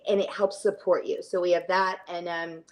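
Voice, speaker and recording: A middle-aged woman speaking casually as an interview guest about her work, chatty and halting, often trailing into tangents. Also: lowish voice, level tone, poor audio. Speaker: fitness studio owner, interview guest.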